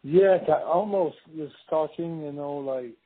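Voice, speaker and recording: A man's voice: on the high side, measured pace, breathy edge. A man in his fifties from Montreal with a Quebec French accent, a former wrestler rambling through his story with lots of "you know" fillers. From Montreal, Canada. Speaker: former pro wrestler, guest. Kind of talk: casual interview storytelling. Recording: tinny phone line.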